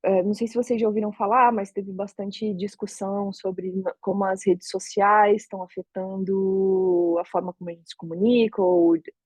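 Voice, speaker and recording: A woman's voice: medium pitch, little pitch movement, moderate pace, darkish tone, slightly rough, no room sound. A Brazilian woman in her thirties with a Brazilian Portuguese accent, giving a conversational online talk from home, thinking aloud with frequent restarts. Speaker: open source advocate giving a talk. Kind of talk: casual online talk to a community. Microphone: video call.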